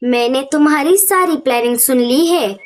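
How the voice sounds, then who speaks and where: A woman's voice: high voice, moderate pace, slight background noise, natural voice. A woman voicing a feisty, sassy bird auntie who scolds, in a children's cartoon. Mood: scolding. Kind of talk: Hindi children's cartoon dialogue.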